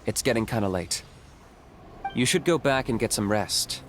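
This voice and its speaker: An actor playing a calm, laconic young warrior in a video game, unruffled, dry and matter-of-fact. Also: male voice, mid-range voice, normal speed, slight background noise, lightly acted. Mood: calm, resolved.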